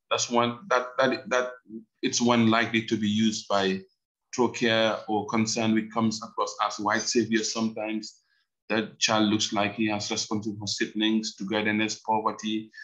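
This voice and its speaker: A man's voice: on the low side, fairly bright tone, rough recording. A man moderating an online panel discussion over a video call, hesitant with many fillers.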